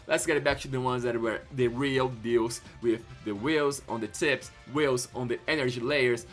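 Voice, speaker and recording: Excitable Brazilian man with a bright voice. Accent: Brazilian Portuguese. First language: Portuguese. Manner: excitable, spikes louder and higher when thrilled. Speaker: YouTube channel host. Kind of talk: enthusiastic collector commentary.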